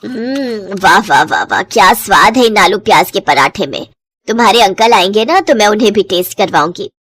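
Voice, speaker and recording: A woman's voice: mid-range voice, animated, normal speed, a bit bright, pitched below the performer's own voice. A woman voicing a middle-aged mother-in-law in a cartoon story, bickering yet easily delighted, with theatrical exclamations.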